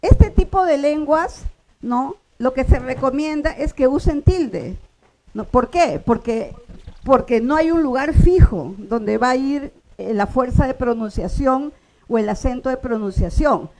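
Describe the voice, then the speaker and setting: A woman giving a linguistics workshop lecture, explaining patiently and often checking in with her listeners, sounding out example words slowly. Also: high-pitched, animated, darkish tone, poor audio. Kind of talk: classroom lecture.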